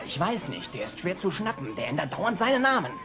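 An actor playing a grouchy, blunt, excitable male cartoon bartender. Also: high-pitched, fairly quick, over music, a crowd in the background. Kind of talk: dubbed cartoon dialogue.

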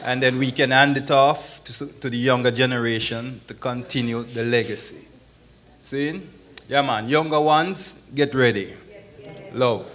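A man's voice: medium pitch, steady tone, a little slow, fairly bright tone, a little hiss or hum. An older man giving an informal speech of thanks at a podium microphone. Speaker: foundation president. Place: event hall stage at a podium.

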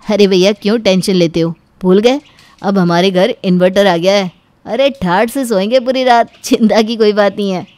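A woman voicing an easygoing young married man, the younger brother in an animated family story, speaking casually. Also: mid-range voice, normal speed, no room sound, pitched below the performer's own voice, lightly acted. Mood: reassuring.

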